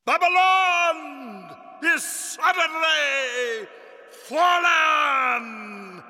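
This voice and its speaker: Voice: sits high, expressive, drawn-out words, full character voice. An actor playing an anguished herald of Babylon's fall in a stylized avant-garde radio drama. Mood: dramatic proclamation. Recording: loud voice in a long reverberant hall.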